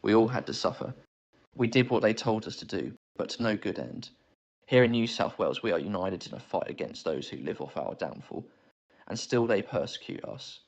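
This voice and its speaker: A man's voice: fairly low pitch, expressive, moderate pace, vocal fry, pitched below the performer's own voice. A narrator voicing an earnest, aggrieved young English bushranger man in an audiobook story. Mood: grave. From England. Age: 20s.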